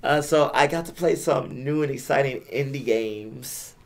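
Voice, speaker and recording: A young man hosting a casual podcast, hoarse from losing his voice, with laid-back, self-deprecating banter. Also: mid-range voice, moderate pace. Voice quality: raspy. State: hoarse, lost voice. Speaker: podcast host.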